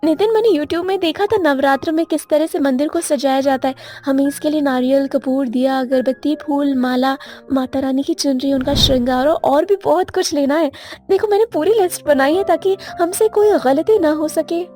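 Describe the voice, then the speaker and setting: A woman's voice: high-pitched, moderate pace, mellow, close to an everyday voice. A woman voicing an eager young bride. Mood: earnest. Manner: pleading, eager. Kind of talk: animated moral story dubbing. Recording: music bed behind voice.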